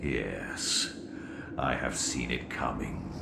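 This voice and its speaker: A man voicing a cold, scheming, sinister ancient being in a video game, with menacing, measured delivery. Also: a bass voice, vocal fry, exaggerated voice. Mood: cold, satisfied. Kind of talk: theatrical video game cutscene acting. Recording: echoing, very noisy game audio.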